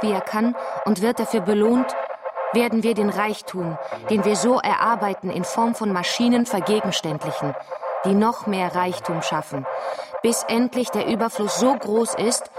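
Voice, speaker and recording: A woman's voice: medium pitch, lightly acted. An actress playing a resolute, earnest woman revolutionary in a radio drama, speaking in a declamatory way. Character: fervent woman revolutionary proclaiming a planned utopia of machines. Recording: music playing behind the voice.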